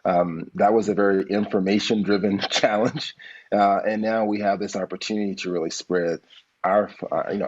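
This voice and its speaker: A man who founded the program, talking in a relaxed, hesitant way with many fillers on a video call. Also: low voice, husky. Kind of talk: casual interview on a video call. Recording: muffled video call audio.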